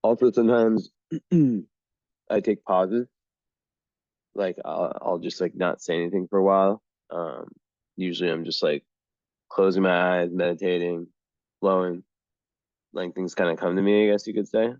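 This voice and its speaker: A man working through an astrology chart reading on his own, halting and rambling as he goes, clearing his throat often, a little hoarse. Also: deep voice, fairly even intonation, darkish tone, no echo. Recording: dull lo-fi sound.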